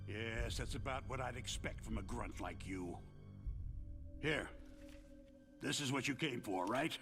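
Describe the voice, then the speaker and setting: A man acting as a battered corporate weapons boss in a video game, cynical and self-justifying. His voice is gravelly and creaky, halting as he explains himself, with music playing behind him. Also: mid-range voice, close to an everyday voice. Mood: bitter, urgent. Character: weary male arms-industry boss, tortured, stammering, cynical, self-justifying.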